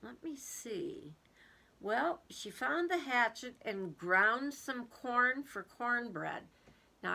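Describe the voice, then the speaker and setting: Bright, unhurried woman reading to children. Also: a little high, creaky. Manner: expressive, musing aloud to children. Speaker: read-aloud teacher for young children. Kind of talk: storybook read-aloud with casual commentary.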